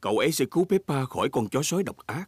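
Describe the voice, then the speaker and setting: A calm storybook narrator for a children's cartoon. His voice is medium in pitch and explanatory, with light music behind it. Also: rapid-fire, husky, no room sound, clean studio sound.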